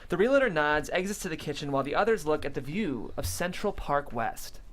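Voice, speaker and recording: Woman narrating with very lively pitch. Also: brisk. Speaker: stage directions reader. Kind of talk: scripted narration at a comedy table read.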